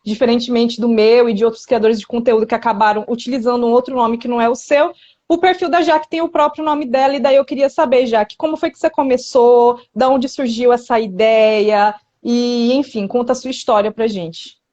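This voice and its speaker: A high-pitched woman in her thirties hosts a casual live chat, her accent a blend of São Paulo and Northeastern Brazil. She talks in a friendly, chatty way and laughs easily. Her voice comes through a video call with a dull, lo-fi sound. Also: steady tone, brisk, dark tone. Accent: mixed São Paulo and Northeast Brazilian. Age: 30s. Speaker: host.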